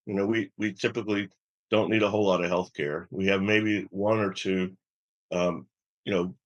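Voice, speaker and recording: A mature man, a medical executive, answering an interview in a measured, explanatory way, halting and repeating words as he builds his points. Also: low-pitched. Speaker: health system chief medical officer, interview guest.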